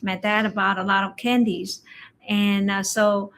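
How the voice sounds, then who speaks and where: A woman acupuncturist, a non-native English speaker whose first language is Chinese, chatting in a slow, halting way about health, often telling stories about patients. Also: mid-range voice, flat delivery, fairly bright tone. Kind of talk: casual health chat. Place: livestream conversation.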